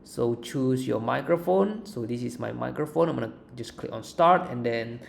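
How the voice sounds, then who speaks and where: A man presenting an informal software walkthrough as a tutorial host. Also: medium pitch, expressive, normal speed, gravelly. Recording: faint background noise.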